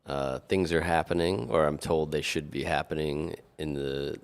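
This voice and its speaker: A man's voice: very deep voice, steady tone, normal speed, clear, resonant tone. A man co-hosting a podcast, chatting casually with dry jokes and frequent laughs. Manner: dry joking, laughs often. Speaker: podcast co-host. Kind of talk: casual chat.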